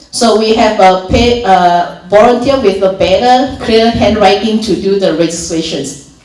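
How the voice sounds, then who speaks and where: A woman's voice: mid-range voice, a little mellow. A woman from Malaysia with a Malaysian accent, giving an informal volunteer training talk, explaining steps plainly with many restarts and fillers. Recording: echoey room from far off.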